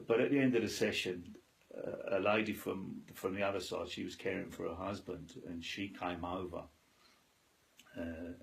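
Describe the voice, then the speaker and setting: A man telling a story with humour in a loud, chirpy way, as the guest in an interview. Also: sits low, vocal fry, poor audio. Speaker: interviewee, cancer patient.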